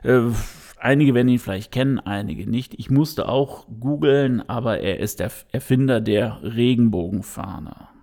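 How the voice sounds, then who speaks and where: A man with a gravelly, creaky voice at an ordinary pitch talks through his opinion to his listeners. He keeps pausing, restarting and filling gaps with small sounds. His tone stays earnest and direct throughout. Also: mellow. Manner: hesitant, many fillers and restarts. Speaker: podcast host. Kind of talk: unscripted opinion monologue.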